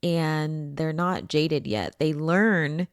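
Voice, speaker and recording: Hoarse, low-voiced woman podcast host. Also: drawn-out words, dry recording, clean studio sound. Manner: enthusiastic, chatty, with casual swearing. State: hoarse, froggy voice from allergies. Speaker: podcast host and spiritual coach. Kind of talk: casual solo podcast talk.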